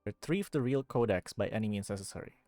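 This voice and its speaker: A woman's voice: very deep voice, lively intonation, quick pace, dark tone, dry recording, studio quality. A woman streaming a video game, commenting and reading dialogue aloud over game music.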